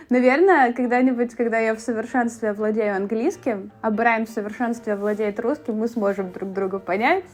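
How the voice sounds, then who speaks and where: A woman's voice: on the high side, moderate pace, faint music behind. A young woman from Russia talking in a chatty, casual vlog style, with fillers and asides. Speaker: beauty vlogger.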